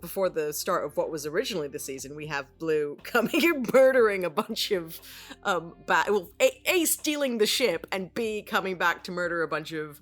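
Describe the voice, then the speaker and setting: A woman's voice: on the low side, lively intonation, moderate pace. A woman co-hosting a casual discussion in a reflective, wry, conversational way. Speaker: co-host, voice actor. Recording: music playing underneath.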